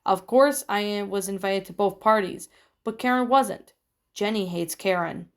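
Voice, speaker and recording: A woman voicing a chatty, easily frightened young girl in a high voice. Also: unhurried, close to an everyday voice.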